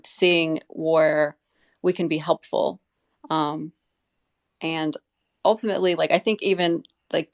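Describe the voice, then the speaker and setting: Woman with a lowish creaky voice, chatting earnestly, kinda rambly. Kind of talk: casual live audio discussion.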